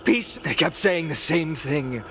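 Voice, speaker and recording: A man's voice: fairly high pitch, animated, measured pace, noisy background. An actor voicing an earnest, humble young man in a game, a former student who speaks expressively and sighs often. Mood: impassioned. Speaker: student giving a public speech. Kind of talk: video game voice acting.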